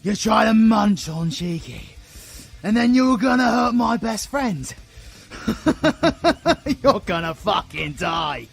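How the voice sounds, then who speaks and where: A man's voice: high-pitched, sing-song, slow pace, creaky, pauses often, heavy reverb, distant mic, soft background music, heavily acted. A British man playing a foul-mouthed, vengeful, defiant supervillain fighter in a dramatic audio roleplay, swearing with raw emotion. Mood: defiant fury.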